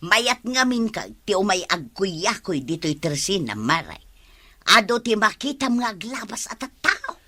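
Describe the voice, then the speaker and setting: A woman's voice: medium pitch, big pitch swings, unhurried, bright, forceful tone, exaggerated voice. A woman with an Ilocano accent playing a chatty, giggly, wheedling neighbor in a radio comedy drama. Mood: chatty, teasing.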